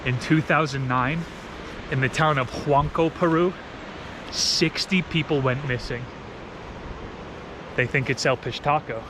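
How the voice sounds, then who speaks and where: A man's voice: mid-range voice, unhurried, long pauses. American man vlogging outdoors over background noise. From New Jersey, United States. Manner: slangy, playful. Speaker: travel vlogger. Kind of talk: casual vlog chat with storytelling. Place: outdoors, camping.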